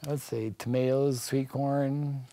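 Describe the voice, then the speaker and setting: A middle-aged American man gives explanations as an interview guest. His voice is soft-edged and mellow, at a medium pitch. The studio sound is very dry. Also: flat delivery, takes it slowly, dry recording. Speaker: agriculture department guest. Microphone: lapel mic.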